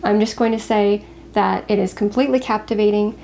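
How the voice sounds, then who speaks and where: A woman co-hosting a casual book chat, talking in an expressive, confiding way. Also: medium pitch, a little fast, gravelly, slight background noise. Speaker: co-host of a book review show.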